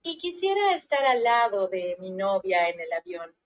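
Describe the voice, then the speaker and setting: A woman's voice: medium pitch, very animated, brisk, close to an everyday voice. Woman doing app story traveller lines, scripted, voice moves up and down a lot. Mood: neutral.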